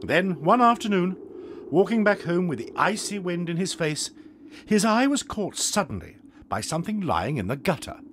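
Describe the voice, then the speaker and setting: An actor playing the storyteller, giving expressive scripted narration of a children's tale. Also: male voice, high voice, normal speed, slight background noise. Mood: expressive storytelling.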